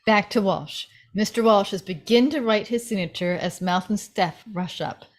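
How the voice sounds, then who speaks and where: A woman's voice: mid-range voice, normal speed. A woman reading screenplay stage directions as a narrator in a dramatic scripted style.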